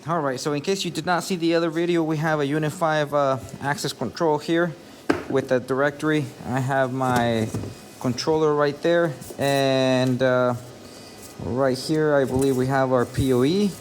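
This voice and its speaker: A man's voice: medium pitch, steady tone, moderate pace. A man giving a casual, explanatory walkthrough of installation work, speaking informally and directly to viewers as an installer. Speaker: installer narrating job site video. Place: work site, partly outdoors. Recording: noisy job-site ambience, vehicle noise.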